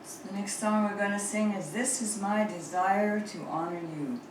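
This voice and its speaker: A woman leading church worship who has a sore, rough throat, singing hymns slowly and speaking softly between songs. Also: mid-range voice, fairly even intonation. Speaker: worship leader. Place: church sanctuary. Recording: roomy, distant, noisy church recording.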